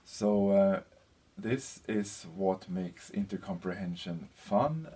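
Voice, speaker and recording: A man presenting an informal slide lecture as a teacher, speaking in a hesitant, halting way with frequent pauses. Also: low voice, mellow. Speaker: university lecturer presenting a lesson. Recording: clear background noise.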